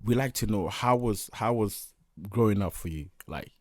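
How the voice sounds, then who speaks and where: A man hosting a radio show in a studio. He speaks in an upbeat, repetitive way, full of shout-outs. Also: fairly low pitch, normal speed, no room sound. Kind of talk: casual radio interview.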